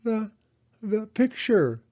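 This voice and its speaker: A man's voice: sing-song, normal speed, mellow, husky, highs cut off, heavily acted. A man voicing a small, anxious female hedgehog who stutters. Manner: stuttering, shrill.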